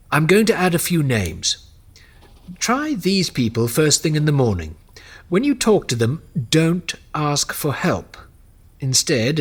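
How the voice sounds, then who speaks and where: A man as a slow, husky, worldly mentor. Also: mid-range voice, fairly bright tone, heavily acted. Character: worldly, patient male mentor, wry and shrewd. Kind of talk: audio drama acting.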